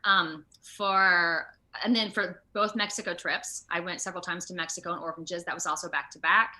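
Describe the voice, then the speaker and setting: An American woman from Ohio talking casually as an interview guest, earnest and animated, rambling from point to point. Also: on the low side, normal speed. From Ohio, United States. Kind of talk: casual online interview chat.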